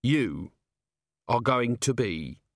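A man's voice: medium pitch, big pitch swings, extremely slow, no echo, heavily acted. Man voicing a tired, stern watch commander, dry and clipped. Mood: weary. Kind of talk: audiobook character voice.

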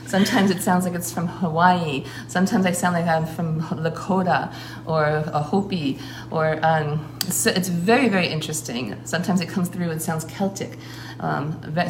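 A woman with a low voice speaks earnestly and at length as a shamanic priestess. Her words flow and are broken by frequent filler pauses. The recording is roomy, with steady noise clearly audible behind her. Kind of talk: casual spoken account.

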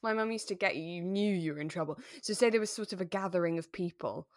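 Female podcast co-host. Lowish register, informal and chatty, closely recorded without room sound. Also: quick pace, dry recording, clean studio sound. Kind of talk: relaxed conversational podcast chat.

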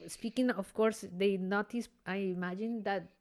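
A woman who is a classical singer, talking in a relaxed conversation and often restarting as she searches for words. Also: medium pitch, normal speed. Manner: warm, effusive, searching for words. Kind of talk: casual conversation.

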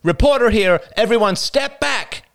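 A man's voice: deep voice, expressive, no echo, clean studio sound. A man playing a grave, commanding male conference speaker with a solemn, urgent manner in an audiobook. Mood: alarmed, accusing. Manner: solemn, deliberate warnings, barking when roused.

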